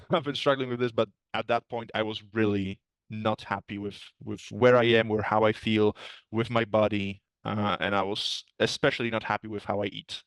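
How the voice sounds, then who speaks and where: A man's voice: fairly low pitch, clear, resonant tone, no room sound, poor audio. A man, a guest in a casual interview, speaking candidly and reflectively with easy laughs. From Poland. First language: Polish. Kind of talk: casual conversation.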